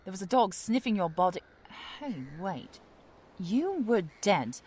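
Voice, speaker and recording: An actress playing a feisty, skeptical, indignant woman in an audio drama, sharp-tongued and sarcastic. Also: mid-range voice, sing-song, a little slow. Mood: incredulous.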